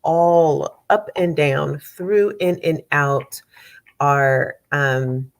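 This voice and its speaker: A woman's voice: sits low, very slow. A congested woman conflict coach hosting her show, chatting casually in a rambling way with many fillers and repeats. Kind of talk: casual live show chat.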